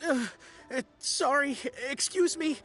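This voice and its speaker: A woman's voice: high-pitched, takes it slowly, a bit bright, full character voice. A woman voicing a timid, superstitious young man in a video game, nervous and melodramatic. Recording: background music under the voice.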